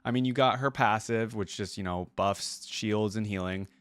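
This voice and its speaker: A man's voice: fairly low pitch, moderate pace, a bit bright, clean tone, no room sound. A man hosting a reaction video with casual, rambling, conversational commentary.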